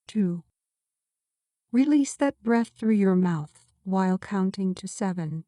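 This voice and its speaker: A woman reading a scripted guided meditation as a guide, giving soothing, measured instructions. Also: mid-range voice, mellow, dry recording, studio quality.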